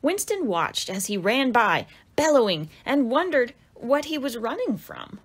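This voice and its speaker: Animated woman reading a children's storybook. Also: on the high side, a little slow, slightly rough. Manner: animated, dramatic exclamations for young listeners. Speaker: author reading own picture book. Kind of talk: expressive children's storybook narration.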